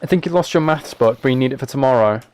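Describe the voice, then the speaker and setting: A man's voice: mid-range voice, very fast, darkish tone, clean tone, dry recording. A young British man chatting casually on a gaming livestream, with a wry, playfully exasperated way of talking. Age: late teens to early 20s. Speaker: live streamer.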